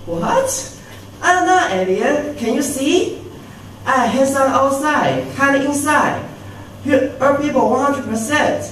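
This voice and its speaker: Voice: high-pitched, expressive, slow pace, a bit bright, slight background noise, full character voice. An amateur radio drama performer playing a kind, earnest male alien who runs a small cafe. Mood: alarmed denial. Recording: echoey hall from far off, music behind.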